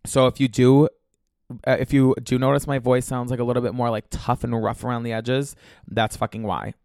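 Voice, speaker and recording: A man's voice: mid-range voice, flat delivery, a little fast, vocal fry, a little mellow, clear, resonant tone, no room sound. Young male podcast host, solo, campy and profane, slightly congested and scratchy, dry close sound. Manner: chatty, profane, campy and gossipy. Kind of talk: casual solo podcast monologue.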